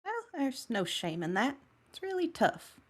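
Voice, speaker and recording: A woman's voice: high-pitched, big pitch swings, unhurried, breathy edge, full character voice. A woman playing a friendly, chatty, plain-spoken woman on a phone call in a table read. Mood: friendly, delighted. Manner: chatty, encouraging. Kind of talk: table read of a science fiction story.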